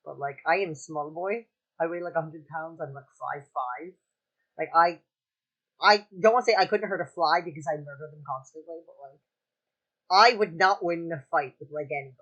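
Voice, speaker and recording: A young man with a light, high-pitched voice, chatting casually and joking about himself on a podcast. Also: brisk, fairly bright tone, muffled recording. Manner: chatty and self-mocking, joking and laughing easily. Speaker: streamer.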